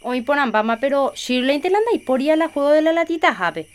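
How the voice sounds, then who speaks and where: A woman's voice: sits high, expressive, a little fast. A woman playing a caring, busy catechist in a Paraguayan radio soap opera, with a Paraguayan accent. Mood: busy, organizing. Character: Juliana, caring, busy catechist woman organizing a village festival.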